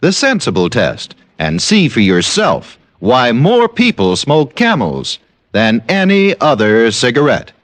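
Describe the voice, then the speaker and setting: A man's voice: huge pitch swings, a little slow, no room sound. A man announcing a persuasive old radio commercial. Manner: emphatic, persuasive pitch. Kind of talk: 1950s radio advertisement.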